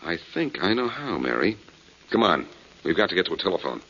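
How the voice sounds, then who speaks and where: An actor playing a cool, quick-witted, wry male detective with clipped delivery in a 1940s radio drama. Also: low-pitched, sing-song, a bit bright, a little hiss or hum. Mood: excited deduction. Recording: dull 1940s radio recording.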